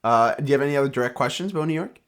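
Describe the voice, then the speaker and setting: A man's voice: mid-range voice, rapid-fire. A young man co-hosts a casual sports podcast, his voice sitting in an ordinary middle register. He rambles cheerfully through stories with a joking, offhand manner and plenty of restarts. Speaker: podcast co-host.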